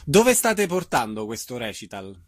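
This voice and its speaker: A man working as an interviewer, putting measured questions to a guest. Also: mid-range voice, very animated. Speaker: radio interviewer.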